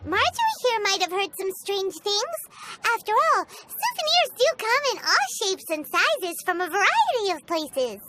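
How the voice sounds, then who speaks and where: A woman voicing a tiny, talkative, easily flustered fairy guide in a video game, with a squeaky childlike voice. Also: very animated, moderate pace, few pauses. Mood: chirpy, inquisitive. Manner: refers to self in third person, exclaims. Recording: music behind the voice.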